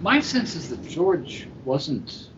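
A man's voice: big pitch swings, slow pace. A man hosting a podcast in a casual discussion style, posing questions and offering his own views. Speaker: podcast host. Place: live convention recording over internet call. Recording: muffled lo-fi internet call with rumbling noise.

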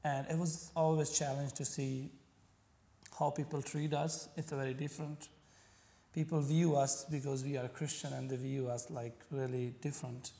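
A man from Pakistan with a Pakistani accent and Punjabi as his first language, speaking haltingly as a podcast guest. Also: mid-range voice, little pitch movement, creaky, warm tone. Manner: halting, searching for words, frequent fillers. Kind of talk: conversational interview.

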